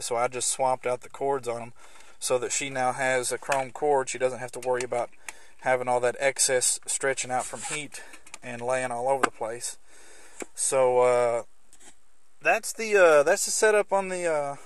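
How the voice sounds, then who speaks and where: A man gives an informal, conversational hands-on walkthrough as a hobbyist presenter, rambling a little as he explains things in and around a car. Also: medium pitch.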